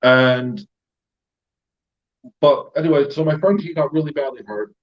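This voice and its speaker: A man's voice: a little high, a little slow, muffled recording. A middle-aged American man, a retired paramedic, hosting a casual live stream and rambling with fillers and laughs. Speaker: YouTube channel host.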